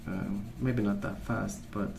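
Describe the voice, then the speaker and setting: A soft-spoken man rambling in an ASMR style, with a hesitant, meandering and unhurried way of recollecting. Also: on the low side, fairly even intonation, gravelly. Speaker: ASMR video host. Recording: roomy, distant mic, noisy background. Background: pencil colouring sounds, background noise.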